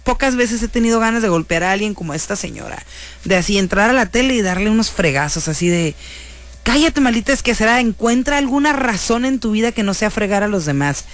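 A woman's voice: mid-range voice, brisk. A woman with a Mexican accent telling stories to an audience in a casual, chatty and slangy way, animatedly acting out what people said. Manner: animated, slangy, acts out quoted dialogue. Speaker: storyteller recounting true-crime cases.

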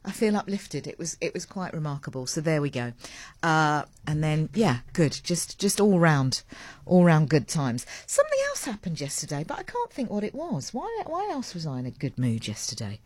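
Woman, radio host, very low voice, husky, chatty and rambling, studio mic. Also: wildly swooping pitch, normal speed, no room sound. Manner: rambling, warm and self-mocking, with laughs. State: voice lower than usual after a late night. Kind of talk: chatty live radio talk. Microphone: large studio mic with a fluffy cover.

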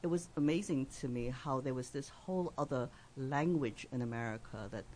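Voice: medium pitch, a little fast, a little mellow, low-quality recording. Panelist, immigrant fiction writer, playful, faint ambient noise. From outside the US. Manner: playful, laughs often. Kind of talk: conversational panel talk.

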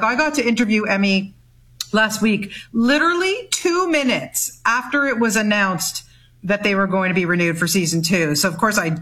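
Bright, enthusiastic woman film critic. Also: medium pitch. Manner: enthusiastic, chatty. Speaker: movie critic guest. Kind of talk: radio interview chat.

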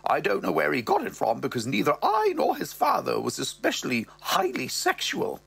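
A man's voice: fairly high pitch, huge pitch swings, creaky, a bit bright, slightly rough, no room sound, voice pushed up, heavily acted. A man putting on a haughty posh old mother. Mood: haughty, droll. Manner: imperious, drawn-out, scandalised. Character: posh elderly mother, haughty, tipsy, blunt.

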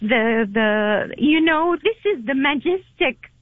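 A woman caller with a French accent, whose first language is French, speaking earnestly with halting repetitions. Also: high-pitched, expressive, no echo. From immigrant to Canada. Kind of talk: phone-in call. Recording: tinny phone line.

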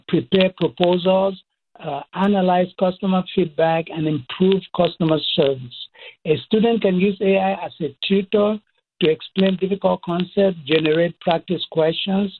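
A man with a Cameroonian accent explaining things as an expert interview guest, deliberately, listing points in short phrases. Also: high-pitched, a little hiss or hum. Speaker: expert guest, professor and AI researcher. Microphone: phone call. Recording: muffled, thin phone line.

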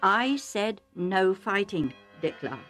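A woman voicing an old, nearly blind male chieftain who speaks slowly, calmly and firmly. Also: mid-range voice, faint music behind, close to an everyday voice. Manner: slow, calm, firm.

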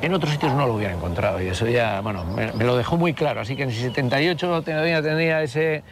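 An older man talks through his memories in a halting, reflective way. His voice is gravelly, and he keeps stopping and restarting his sentences. The sound of a city street comes through clearly behind him. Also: mid-range voice, noisy background. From Basque Country. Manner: halting, with many restarts and fillers. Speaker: interviewee. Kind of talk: reflective storytelling in an interview.

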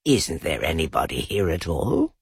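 A man playing a gentle simple bear, humming to himself, dreamy and innocent, husky, dry sound. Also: a bass voice, wildly swooping pitch, normal speed, no room sound, voice pushed down, full character voice. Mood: puzzled. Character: Pooh, a slow simple honey-loving male bear.

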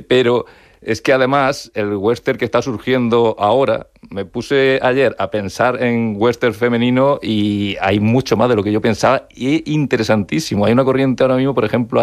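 A man's voice: medium pitch, fairly even intonation, normal speed, vocal fry, no echo, studio quality. A man from La Mancha with a Spanish accent, chatting casually and enthusiastically as a radio guest about films in an effusive, digressive, emphatic way. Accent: Spanish from Spain. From La Mancha, Spain. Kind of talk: casual, enthusiastic film discussion.

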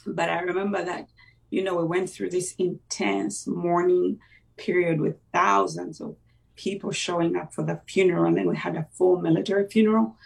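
Gravelly voice, halting. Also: mid-range voice, steady tone, recorded from a distance, poor audio. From Uganda. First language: Luganda. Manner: reflective, halting, with many fillers and restarts. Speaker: interview guest. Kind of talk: personal faith testimony in conversation.

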